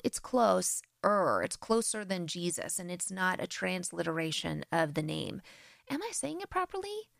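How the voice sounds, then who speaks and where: American woman doing a solo church podcast, earnest, bit of vocal fry, dry close sound. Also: lowish voice, no room sound. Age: adult with grown-past-baby children. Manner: earnest, direct, lively emphasis. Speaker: podcast host. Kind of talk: solo faith podcast talk.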